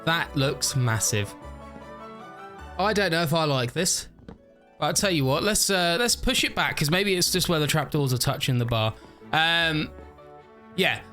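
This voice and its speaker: A man's voice: a little high, animated, measured pace, long pauses, sharp tone, faint music behind. A man rambling through casual game commentary, overtired and a bit stuffy and nasal, often laughing at himself. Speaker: gaming YouTuber. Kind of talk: casual let's-play commentary.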